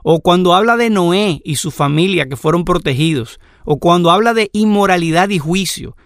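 Gravelly-voiced man teaching Bible lessons. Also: high-pitched, animated, no echo, studio quality. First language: Spanish. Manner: measured, explanatory, lists examples. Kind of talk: lecture on scripture.

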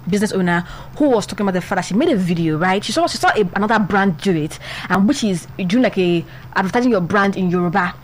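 A woman's voice: medium pitch, animated, quick pace, few pauses, slight background noise. A young woman with a Nigerian accent, co-hosting and telling a story in a chatty way full of restarts. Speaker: podcast co-host. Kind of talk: casual advice talk.

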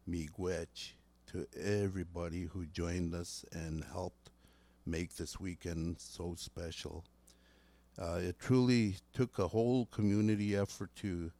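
A man's voice: deep voice, mellow. A man hosting a casual morning radio show, rambling loosely through the weather and community announcements and slipping between two languages. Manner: reads announcements aloud haltingly.

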